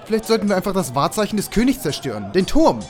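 Man acting a grumbly hunter commander, swooping pitch. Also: sing-song, quick pace, faint music behind. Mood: musing. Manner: grumbly, muttering. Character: grumbly, dim-witted male hunter commander. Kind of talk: comedic fantasy audio drama acting.

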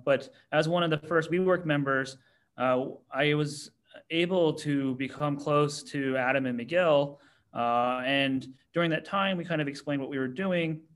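An American man in his thirties, a startup CEO answering interview questions in a relaxed way with many fillers. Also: medium pitch. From United States. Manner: relaxed, many ums and you-knows.

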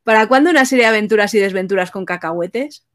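Female interviewer, medium pitch, casually moderating and reading questions. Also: quick pace.

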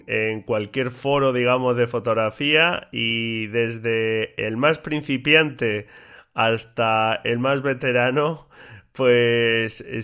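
A man with an average pitch hosts his own podcast alone, nasal congestion lending his voice a slightly stuffy, thick edge. He talks in a loose, friendly, conversational way, full of fillers and small restarts. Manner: relaxed, chatty, many fillers and restarts. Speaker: podcast host. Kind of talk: casual solo podcast talk.

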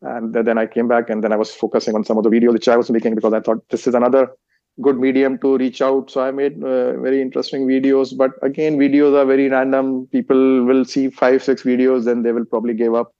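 A man's voice: medium pitch, flat delivery, mellow, dry recording. Middle-aged Indian man, muffled call audio. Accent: Indian English. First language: Hindi. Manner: rambling, halting, strings together lists of places. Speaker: meditation teacher and author as guest. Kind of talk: interview answers.

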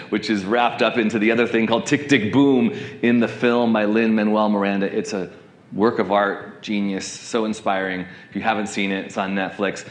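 A man's voice: fairly low pitch, fairly bright tone, roomy sound. A man in his forties, a pastor giving a conversational sermon, rambling with restarts and asides. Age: 40s.

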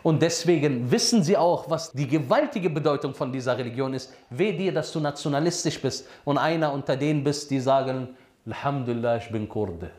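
A man's voice: mid-range voice, fairly quick, darkish tone, reverberant. A man preaching a sermon lesson, asking his listeners questions and answering them himself, with Arabic phrases mixed into his talk. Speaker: Islamic preacher.

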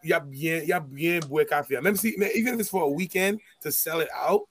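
A man co-hosting a casual interview, relaxed and slangy, mixing in French phrases. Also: a little high, fairly quick, slightly rough, soft background music.

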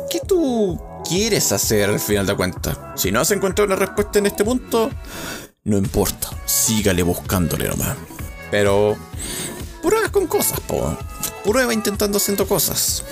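A man's voice: on the low side, vocal fry. A man with a Chilean accent, hosting a rambling, self-mocking podcast monologue with lots of swearing. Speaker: podcast host.

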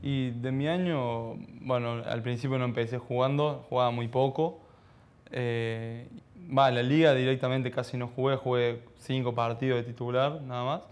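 A man of about twenty with an Argentine accent, giving modest, halting answers as a footballer in a casual interview. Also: mid-range voice, fairly even intonation. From Argentina, childhood in Ecuador. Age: around 20. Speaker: professional footballer being interviewed.

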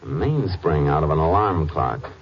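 A man playing a calm, methodical Texas Ranger in an old radio drama, clipped, matter-of-fact and authoritative. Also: a bass voice, normal speed. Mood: businesslike, focused. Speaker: actor in lead role and narrator. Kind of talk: 1940s-50s radio drama acting. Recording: dull old-time radio recording with music.

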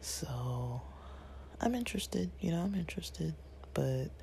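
A man hosting his own channel, talking casually to viewers at home in a raspy, half-whispered voice, rambling with lots of fillers and feeling a little unwell. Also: a little high, lively intonation, unhurried, a little mellow, a little hiss or hum. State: a bit unwell, rested. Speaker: channel host.

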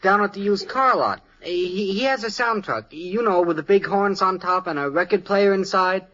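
Eager american teen boy, old radio sitcom, slangy, high bright voice. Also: moderate pace, poor audio. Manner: enthusiastic, slangy. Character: eager, brash, carefree American teenage son. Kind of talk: 1950s radio sitcom acting.

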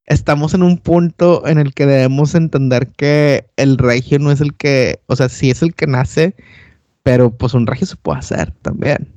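A man's voice: medium pitch, normal speed, a little mellow, dry recording. A man in casual chat, slangy and reflective, hedging his points with fillers.

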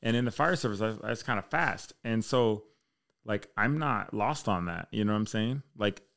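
A man's voice: lowish voice, animated, moderate pace, gravelly, a bit bright. A man in his thirties, a fire chief, chatting casually and telling rambling stories with easy swearing. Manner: laid-back, swears casually, rambling storyteller. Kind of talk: casual podcast chat.

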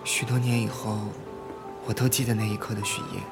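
An actor playing a timid, introspective male high school student in an audio drama, speaking gently and hesitantly. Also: level tone, a little fast, gravelly, loud music behind the voice. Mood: tender, wistful. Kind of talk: audio drama acting and inner narration.

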